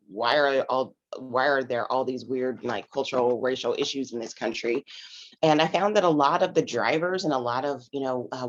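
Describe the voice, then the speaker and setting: A woman in her forties with a raspy morning voice, an investor guest telling her story in a rambling way full of "you know" fillers over a video call. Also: very deep voice, muffled recording. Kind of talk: casual conversational storytelling.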